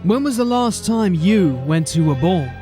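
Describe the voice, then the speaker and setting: A man playing a brisk, dryly authoritative police sergeant in a fantasy audio drama, speaking in a clipped, formal, wry way. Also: high voice, expressive, music in the background. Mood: dry, determined.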